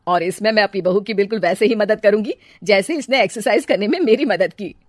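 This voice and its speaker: A woman's voice: medium pitch, quick pace, dry recording, close to an everyday voice. Woman playing a kindly mother-in-law, dry sound. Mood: encouraging. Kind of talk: cartoon family drama acting.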